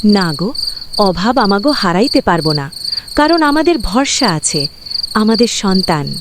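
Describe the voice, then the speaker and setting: A woman voicing a gentle, hopeful village mother who reassures her husband. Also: medium pitch, big pitch swings, unhurried. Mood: tender. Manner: soothing, reassuring. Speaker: cartoon voice actor. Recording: loud cricket and insect noise.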